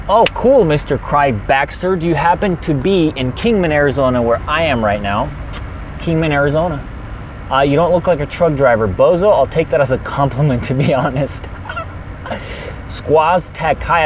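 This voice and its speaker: A man's voice: on the high side, low-quality recording. Man, pumped-up livestream chat, trucker, faint engine hum behind. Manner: energetic, chatty, fast-talking. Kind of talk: casual livestream chat reading viewer comments.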